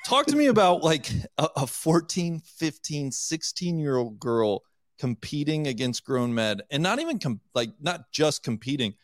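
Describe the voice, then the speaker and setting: A man's voice: on the high side, big pitch swings, normal speed, dry recording, studio quality. A man hosting a golf podcast, asking conversational questions and poking fun at himself. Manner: conversational, self-deprecating questions. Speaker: podcast host. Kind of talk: casual interview.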